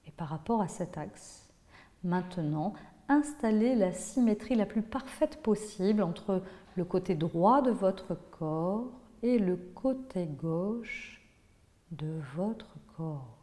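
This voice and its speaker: A woman teaching yoga nidra, guiding a relaxation in a measured, instructive way with pauses between phrases. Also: mid-range voice, expressive, mellow. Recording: long hall-like reverb on voice.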